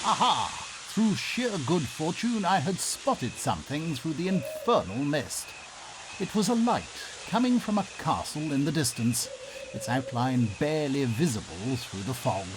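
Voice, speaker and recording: An actor playing a polite, excitable Victorian nobleman in a comedy radio play, with a theatrical, pompous and well-mannered delivery. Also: a little high, a little slow. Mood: excited, dramatic. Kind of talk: melodramatic first-person radio theatre narration and acting. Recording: noisy ambience behind the voice.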